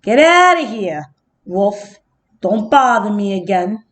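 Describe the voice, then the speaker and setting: A woman voicing a rude, grumpy male little pig from a children's storybook, curt and dismissive, calling out loudly. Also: medium pitch, very animated, takes it slowly, voice pushed down. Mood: irritated.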